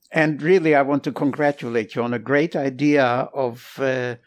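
A man in his eighties from Israel, a retired doctor, chatting with his son and pausing often with a chuckle. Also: medium pitch, fairly even intonation. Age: 80s. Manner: chuckling, halting with many uh pauses. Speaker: guest, retired military doctor. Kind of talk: casual interview chat. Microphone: remote call from home.

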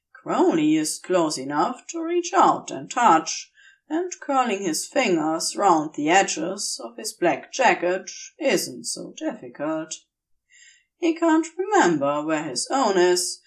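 A woman narrating fiction in a gentle, measured, expressive storytelling style. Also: fairly low pitch, distant mic. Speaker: fan fiction audiobook reader.

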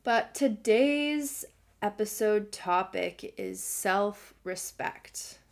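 A young adult woman hosting a self-help podcast, earnest and encouraging, her voice slightly hoarse from a cold. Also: medium pitch, lively intonation, extremely slow. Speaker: podcast host.

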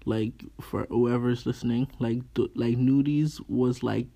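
A man chatting casually and informally as a guest, using relaxed slang as he gives his opinions. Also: mid-range voice, a little slow. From Haitian background. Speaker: podcast guest. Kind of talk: casual chat about fashion. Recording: clear background room noise.

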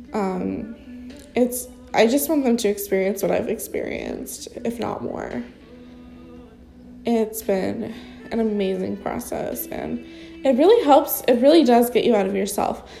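A young woman with a congested, blocked-up nose talks casually and rambles with plenty of fillers. Her voice sits a bit high, with a gravelly fry and a mellow, soft tone. Faint music plays underneath. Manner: rambling, spontaneous, heavy with fillers. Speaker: recovery sponsor sharing experience. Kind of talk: casual unscripted vlog talk.

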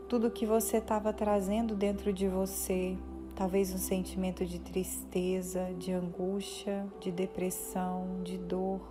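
A Brazilian woman leading a guided meditation in a soothing, instructive manner with deliberate pauses. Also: mid-range voice. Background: music.

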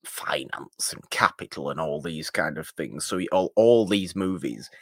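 A man co-hosting a film podcast, chatting casually and haltingly, repeating and rephrasing his points for emphasis. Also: a bass voice, lively intonation, no echo, clean studio sound.

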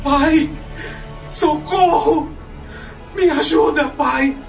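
A man's voice: extremely high pitch, very slow, gravelly, heavily acted. An actor playing a terrified young man of twenty in a radio drama, crying out shrilly and desperately. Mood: sobbing, desperate. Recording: dull echoey recording with music behind.

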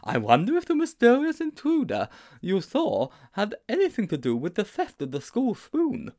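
A man's voice: wildly swooping pitch, moderate pace, a little mellow, exaggerated voice. A man voicing a nervous, timid schoolboy who lisps his r's as w's, in an audiobook reading. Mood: anxious, eager. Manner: lisps r as w, squeaky and breathless. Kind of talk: audiobook character voice.

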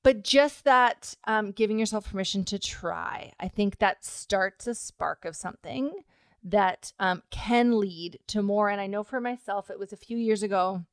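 A woman's voice: mid-range voice, a little slow, clear, resonant tone, no room sound, studio quality. A woman hosting a podcast, talking in a reflective, earnest way with fillers. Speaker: podcast host. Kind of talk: casual conversation.

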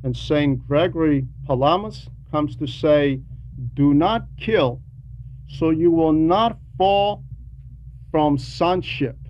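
A man with a slightly high voice preaches an Orthodox homily at a slow pace. He explains deliberately and leans on repetition for emphasis. There is faint noise behind him. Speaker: preacher reading a translated homily. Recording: dull lo-fi sound with background noise.

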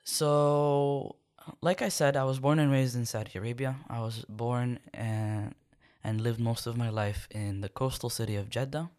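A young man from Sudan, a podcast guest, answering questions in a thoughtful, measured way with many pauses. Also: medium pitch, steady tone, a little mellow, clean tone. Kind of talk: conversational interview answers.

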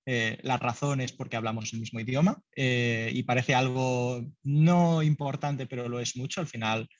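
A man's voice: medium pitch, fairly even intonation, normal speed, no room sound. Brief: male founder, casual and filler-heavy, dry muffled recording. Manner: loose and conversational, many fillers, laughs lightly. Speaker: startup founder. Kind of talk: business interview answers.

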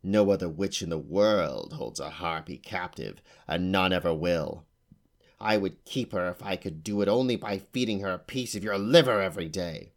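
A man's voice: deep voice, normal speed. A man voicing an old, boastful and fierce female witch who talks with a sneer. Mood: menacing. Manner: sneering, snarling at times.

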